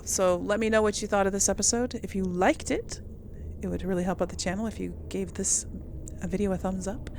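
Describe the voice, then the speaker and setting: A woman's voice: medium pitch, gravelly, slight background noise. Casual woman gamer over game ambience. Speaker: gaming video host. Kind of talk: casual let's play commentary with role-played replies. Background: video game ambience.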